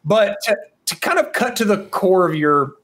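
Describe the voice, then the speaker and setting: A man's voice: high voice, lively intonation, moderate pace, a bit bright. A man co-hosting a podcast, explaining his points in a casual way and checking in with "right?" as he goes. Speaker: podcast co-host. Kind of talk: casual chat.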